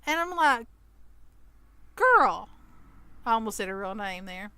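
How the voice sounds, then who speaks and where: A woman's voice: a little high, sing-song, slow, sharp tone, clean tone, no room sound. A woman in her thirties co-hosting a podcast, telling a story in casual chat and acting out the people she quotes. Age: 30s. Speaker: podcast co-host.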